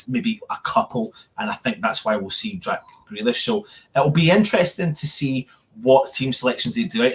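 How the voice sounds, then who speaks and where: A man's voice: medium pitch, a bit bright. Man chatting football live. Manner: conversational, repeats words and phrases as he talks. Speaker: football fan channel host. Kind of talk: live-stream football chat.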